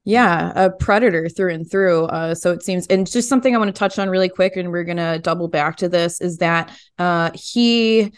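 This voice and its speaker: A woman hosting a conversational true crime interview, informal and quick to react as she guides her guests. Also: lowish voice, smooth. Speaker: podcast host interviewing journalists.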